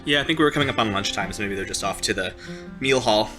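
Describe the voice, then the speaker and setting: A man's voice: lowish voice, quick pace, music in the background. A man casually improvising narration as a player in a tabletop roleplaying game.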